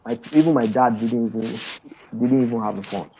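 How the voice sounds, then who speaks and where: Over a dull, lo-fi video call line comes a middle-aged man, speaking at an ordinary pitch for a man. He talks emphatically and insistently, circling back and restarting his sentences as he presses a point. He is a guest on an earphone headset in an indoor room. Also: fairly quick, mellow, slight background noise. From Nigeria. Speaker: guest trader and mentor. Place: indoor room, seated in an office chair.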